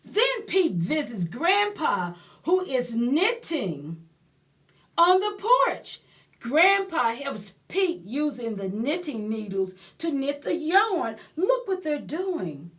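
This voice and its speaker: A woman reading a picture book aloud to young children as a library storyteller, expressive and pausing to explain things and prompt her listeners. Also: high-pitched.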